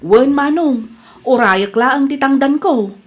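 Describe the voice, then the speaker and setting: A woman as a humble, high-voiced Ilocano cleaner. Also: measured pace, breathy edge. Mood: humble, grateful. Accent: Ilocano Filipino. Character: humble, eager-to-please woman doing yard cleaning. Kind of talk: Ilocano radio comedy drama acting.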